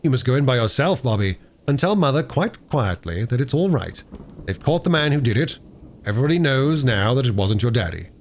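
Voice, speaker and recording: A man voicing a gentle, weary father in a storybook reading, speaking quietly and tenderly. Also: medium pitch, big pitch swings, a little fast, slight background noise. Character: gentle, tired father, a tall pale man.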